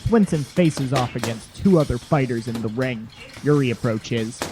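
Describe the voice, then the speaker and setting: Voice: mid-range voice, expressive, moderate pace, dark tone, soft background music. A narrator reading scripted scene descriptions for an audio drama.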